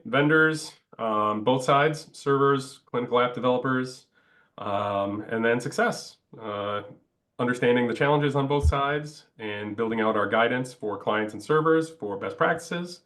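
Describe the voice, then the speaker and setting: A man with a fairly low voice talks through a webinar slide presentation. He sounds stuffed up from a cold. He keeps pausing on "uh" between phrases. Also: fairly bright tone. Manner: halting, many "uh" fillers. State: congested, stuffed up. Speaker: project presenter.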